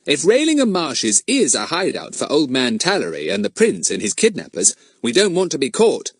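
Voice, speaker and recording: A man voicing a confident, clever boy who leads his friends, speaking briskly and with assurance. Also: a little high, wildly swooping pitch, husky, no echo, studio quality, voice pushed up, exaggerated voice. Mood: plotting.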